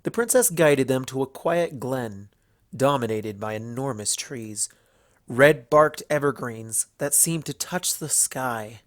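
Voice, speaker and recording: A man's voice: mid-range voice, takes it slowly, no echo, clean studio sound. A man giving a scripted storybook narration as the narrator.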